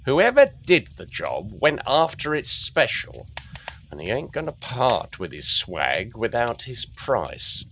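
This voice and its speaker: An actor playing a plain-spoken, gruff police detective sergeant of old London, halting and deliberate, in a gothic audio drama. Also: male voice, medium pitch, huge pitch swings, fairly bright tone, slight background noise, heavily acted. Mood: musing. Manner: deliberate, halting, working man's turns of phrase.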